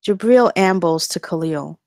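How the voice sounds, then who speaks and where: A woman with a notably low voice reads screenplay action lines. It is scripted narration for a table read. The sound is dry, with little room around it. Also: measured pace, darkish tone, no room sound. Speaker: stage directions narrator.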